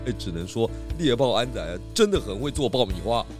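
An actor voicing a kindly, snack-loving pig detective in a children's audio drama. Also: male voice, medium pitch, lively intonation, fairly quick, loud music behind the voice, natural voice. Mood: warm, content.

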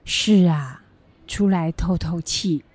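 A woman playing a kindly grandmother in a children's educational radio drama, with a husky, plain-spoken delivery. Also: fairly low pitch, slow, a little mellow, no echo. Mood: fond, relaxed. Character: kindly grandmother raising her grandchildren, fond of fish heads.

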